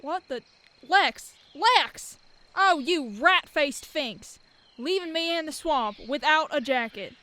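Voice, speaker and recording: Lady doing a high sharp whiny giantess villain, fed up, slowish, radio play. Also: animated, heavily acted. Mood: outraged. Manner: loud, exasperated complaining. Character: huge, indignant supervillain giantess, whiny and stranded. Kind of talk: comedic superhero radio play acting.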